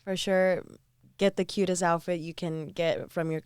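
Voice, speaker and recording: A woman in her twenties, a guest on a podcast, chatting casually in a bubbly, animated way. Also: lowish voice, moderate pace, clean tone, no echo. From Southern California. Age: 20s. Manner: bubbly, animated, laughs often.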